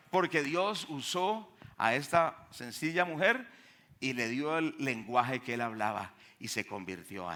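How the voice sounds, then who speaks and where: A man's voice: on the high side, bright, forceful tone. A man with a Colombian accent preaching a sermon emphatically, putting questions to his congregation. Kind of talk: sermon with Bible reading and testimonies. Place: speaking to a church congregation.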